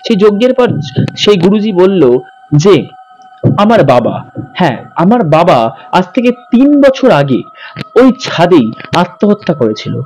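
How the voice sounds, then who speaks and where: A man's voice: high voice, sing-song, a little slow, pauses often, darkish tone, rough recording. A man narrating in a dramatic, suspenseful ghost-story style as a channel host. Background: background music.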